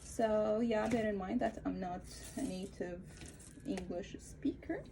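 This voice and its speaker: A woman with an Egyptian accent talks casually through a home craft tutorial, her speech full of fillers and restarts as she hunts for English words. Her voice sits at an ordinary middle pitch. Faint room noise hums under the camera mic. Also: fairly even intonation, unhurried, creaky. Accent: Egyptian Arabic. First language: Arabic. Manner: hesitant, searching for words with many fillers. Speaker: craft vlogger.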